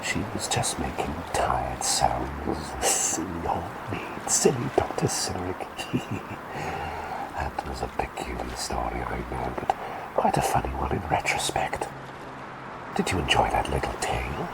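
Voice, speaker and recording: A man's voice: sing-song, unhurried, heavily acted, poor audio. Man playing Dr. Cyneric, a whispering bird doctor. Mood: gentle whisper. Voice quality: deep creaky whisper. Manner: soft whispering, rambling and meandering. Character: quirky male talking bird plague doctor. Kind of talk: ASMR roleplay bedtime storytelling.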